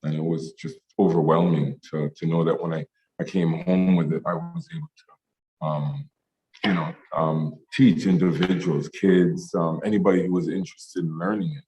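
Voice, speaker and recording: Deep-voiced Jamaican-born potter, halting speech, frequent restarts in an interview. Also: male voice, warm tone, poor audio. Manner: halting, many fillers and restarts. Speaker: ceramic artist. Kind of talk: online meetup interview.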